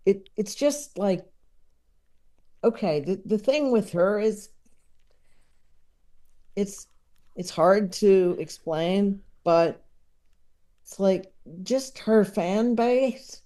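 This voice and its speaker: A podcast guest talking casually and reflectively, in a halting, thoughtful way, repeating words while searching for the right phrasing. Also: mid-range voice, mellow. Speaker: podcast guest, comedy enthusiast. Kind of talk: casual reflective interview talk.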